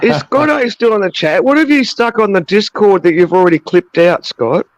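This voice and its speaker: A man with a notably high voice banters in a casual hangout. The sound is very dry, and his manner is teasing and profane. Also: moderate pace, dry recording, highs cut off.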